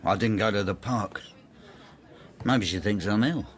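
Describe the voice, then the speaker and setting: A man's voice: fairly low pitch, measured pace, lightly acted. An actor playing a brooding, resentful older man in a radio drama, confiding in an intimate, reflective way. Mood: guarded. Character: brooding older Frenchman, resentful, inward, estranged husband. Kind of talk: radio drama, intimate first-person narration and dialogue.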